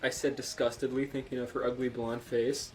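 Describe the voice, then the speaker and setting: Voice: medium pitch, level tone, a little fast, soft background music, rough recording. A podcast host reading fan fiction aloud in a casual, comedic, irreverent and sweary way, prone to giggling.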